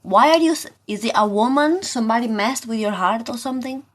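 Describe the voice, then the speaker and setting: A woman hosting a live tarot session, talking informally and haltingly to viewers, often asking questions and repeating her phrases. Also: fairly high pitch.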